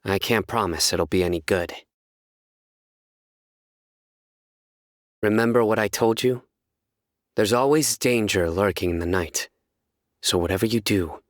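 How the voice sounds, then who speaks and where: An actor playing a solemn, stern young enforcer of justice in a video game, speaking in a measured, formal, deadpan way. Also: male voice, lowish voice, no echo, studio quality, close to an everyday voice. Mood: calm, watchful. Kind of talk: scripted video game character voice lines.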